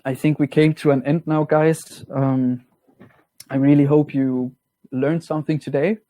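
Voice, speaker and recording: Man with a Danish accent, mellow and dry. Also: on the high side, a little slow, dry recording. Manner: relaxed, plain-spoken, slightly non-native grammar. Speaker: product trainer. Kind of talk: webinar software walkthrough.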